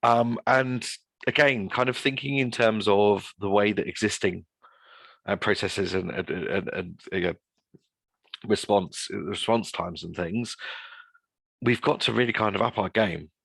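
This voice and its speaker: A man's voice: lowish voice, fairly even intonation, a little slow, gravelly, a bit bright, slightly rough, dry recording. A man giving an informal webinar presentation as a guest speaker, talking in a discursive way and often repeating his phrases.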